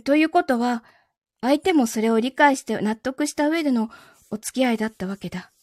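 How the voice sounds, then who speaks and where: Woman acting high-voiced, menacing possessive girlfriend. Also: fairly quick, no echo, clean studio sound, lightly acted. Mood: cold interrogation. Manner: calm interrogating, softly taunting, sometimes whispering. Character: possessive, coolly menacing wronged girlfriend holding her lover captive. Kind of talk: Japanese audio drama acting.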